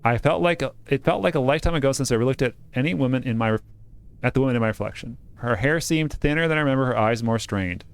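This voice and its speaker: A man's voice: mid-range voice, fast talker, smooth. A man doing a casual let's-play, reading game narration aloud and joking about the text as he goes. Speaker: game streamer reading a visual novel aloud.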